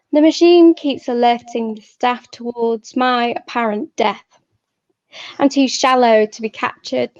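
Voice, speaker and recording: Slow-paced young woman, higher voice. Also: a little mellow, no echo, muffled recording. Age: young adult. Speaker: poet, guest speaker. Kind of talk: personal talk and poetry reading.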